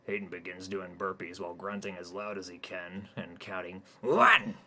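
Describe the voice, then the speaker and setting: Deep guy reading his own crude comedy story, deadpan, bright voice. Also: fairly quick. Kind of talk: comic stream-of-consciousness narration.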